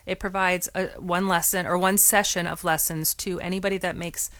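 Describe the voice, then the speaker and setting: A woman's voice: lowish voice, steady tone, normal speed, fairly bright tone, no room sound. A woman on a radio talk show, explaining things earnestly and restarting her sentences often. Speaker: guest from a swim lesson nonprofit. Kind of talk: radio call-in discussion.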